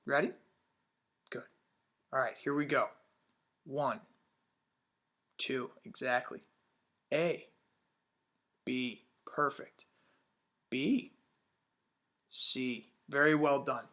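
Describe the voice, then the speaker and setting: A man's voice: medium pitch, very slow, long pauses, sharp tone. A man teaching young children in a read-aloud lesson, upbeat, asking questions and praising answers.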